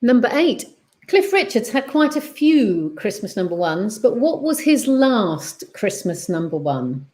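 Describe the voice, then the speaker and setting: Woman reading quiz questions, higher voice. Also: big pitch swings, darkish tone. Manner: reads questions slowly and repeats them, then chats. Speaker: quiz host. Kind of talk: casual online quiz reading.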